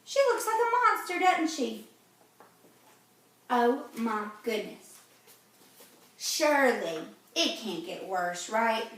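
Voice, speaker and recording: Woman, storybook read-aloud for kids: high, bright voice, exclaiming teacher-like delivery. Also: slow, pauses often. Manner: animated, exclaiming, teacher-like questioning. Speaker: reader of a children's read-aloud. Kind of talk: expressive storybook read-aloud with asides to young listeners. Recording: very echoey room, distant mic.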